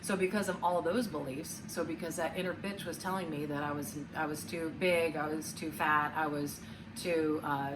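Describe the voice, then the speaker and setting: A woman in her forties, an animated, loud-voiced coach, talking casually and frankly to camera with lots of fillers and restarts. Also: low-pitched, far from the mic, background noise. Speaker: relationship coach. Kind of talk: casual vlog talk to camera.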